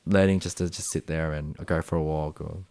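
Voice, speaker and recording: A young man with an Australian accent talks in a loose, meandering way, full of fillers and restarts. His voice sits very low. The recording is dry and close, with no room sound. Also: steady tone, brisk, creaky, a little mellow, no echo. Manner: rambling, many fillers and restarts. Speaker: podcast guest. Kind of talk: casual chat.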